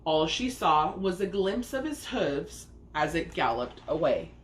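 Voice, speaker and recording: A woman reading a picture book aloud as a story time host, expressive and speaking to young listeners. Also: low-pitched, measured pace. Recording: echoey room with faint background noise.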